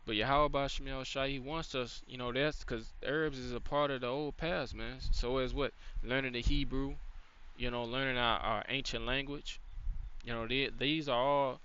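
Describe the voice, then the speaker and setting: A man's voice: medium pitch, fairly even intonation, moderate pace, sharp tone, muffled recording, slight background noise. A man giving a casual faith-based lesson, rambling and loose, dropping "you know" and "man" throughout. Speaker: channel host giving a faith-based teaching.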